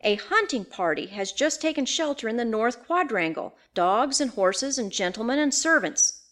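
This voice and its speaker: A woman voicing a young gentlewoman in a ghost story, shaken yet determined, speaking earnestly and formally. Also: on the high side, lively intonation, normal speed. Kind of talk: dramatised audiobook ghost story.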